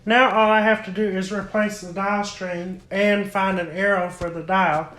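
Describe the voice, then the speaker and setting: A man's voice: high voice, little pitch movement, measured pace, pushed and bright, slight background noise, low-quality recording. A young man talks casually through a repair job, explaining it step by step. His voice is recorded close on a phone in a room at home. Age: young adult. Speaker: hobbyist vlogger. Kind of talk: casual hands-on repair demonstration. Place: indoor room at home, at a wooden table. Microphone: handheld phone close by.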